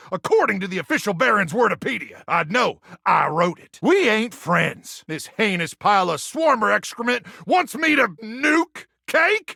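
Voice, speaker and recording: An American man voicing a feral, preachy wasteland soldier in a video game, with a gravelly voice and a folksy, theatrical drawl. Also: high voice, sharp tone, no room sound, clean studio sound, heavily acted. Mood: pompous, dismissive, then outraged. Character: feral, zealous, bragging wasteland soldier of a household appliance. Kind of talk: video game character voice acting.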